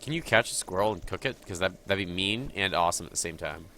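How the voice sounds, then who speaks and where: A man's voice: sits low, lively intonation, fast, pushed and bright, a little hiss or hum, rough recording. A man with a cold, sniffling a little, chatting and thinking aloud as he streams a game live. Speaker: gaming streamer.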